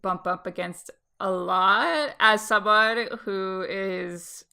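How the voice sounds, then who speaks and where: Podcast co-host lady, bright forceful voice, casual, swears a bit. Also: mid-range voice, steady tone, creaky, clean tone. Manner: chatty, quick reactions, laughs easily, some swearing. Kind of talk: casual chat.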